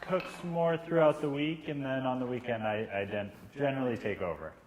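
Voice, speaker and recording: Casual man with a mid-pitched voice. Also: a little fast, a little mellow, poor audio. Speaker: guest. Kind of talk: casual chat.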